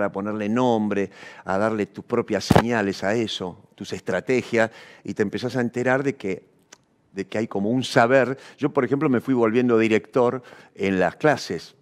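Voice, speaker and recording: Argentine man, reflective interviewee. Fairly low voice, thoughtful conversational delivery. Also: moderate pace. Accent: Argentine Spanish. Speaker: interviewed actor and director.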